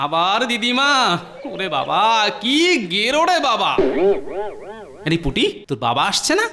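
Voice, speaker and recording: A man's voice: sits high, sing-song, unhurried, crowd noise, exaggerated voice. A man playing a witty, pot-bellied older cartoon court jester in a playful, exclamatory way. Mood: flustered. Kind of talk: Bengali cartoon voice acting.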